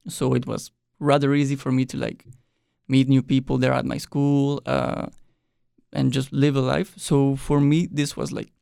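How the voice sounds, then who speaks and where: Young male guest, raised in Mexico, relaxed talker with heavy vocal fry, dry studio sound. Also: mid-range voice, moderate pace, no room sound. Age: young adult. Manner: relaxed, loose with frequent "like" and quiet trailing ends. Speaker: exchange student guest. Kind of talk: casual interview chat. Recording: dry close studio mic.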